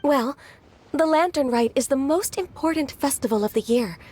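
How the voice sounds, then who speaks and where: A dutiful young official in a video game. Her voice is high and soft, and she chooses her words in a formal, careful way. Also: animated, a little hiss or hum. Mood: measured. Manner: formal, measured, polite.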